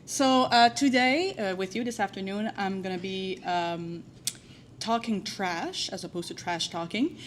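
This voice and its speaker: Woman, French accent, conference presenter, mid pitch, friendly and filler-heavy, faint background noise. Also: unhurried. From New Brunswick, Canada. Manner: frequent "uh" fillers, friendly and self-deprecating. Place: conference room.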